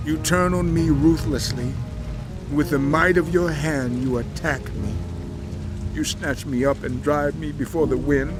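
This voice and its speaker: An actor playing a suffering righteous man in a dramatized audio Bible, with solemn, impassioned, measured delivery. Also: a little high, lightly acted. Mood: anguished, pleading.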